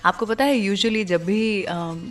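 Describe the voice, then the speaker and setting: A woman's voice: mid-range voice, slow pace. A woman hosting a radio music show, telling stories in a scripted but conversational way and mixing in English phrases. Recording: music under the voice. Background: background music.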